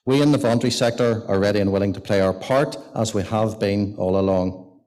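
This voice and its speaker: A man's voice: fairly low pitch, fairly quick, a little mellow. A man delivering a prepared, formal speech from a lectern in a parliament gallery and introducing the next speaker. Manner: formal, read from notes at a lectern. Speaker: event host introducing speakers. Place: long gallery of a parliament building. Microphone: lectern microphone.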